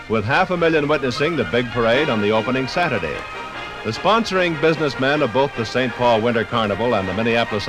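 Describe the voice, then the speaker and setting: A man's voice: medium pitch, poor audio. An American man narrating a scripted 1950s radio documentary program. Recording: 1958 radio program from LP record. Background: faint music.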